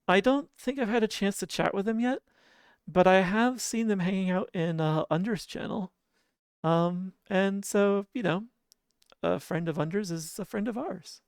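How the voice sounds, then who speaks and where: A game streamer with a sleepy, drowsy-sounding voice talks softly and casually to the chat. The tone is smooth and clean, and the desk mic sounds close and dry with no room behind it. Idle humming fills the pauses. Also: medium pitch, no echo. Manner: soft, relaxed, chuckles often and hums idle filler tunes.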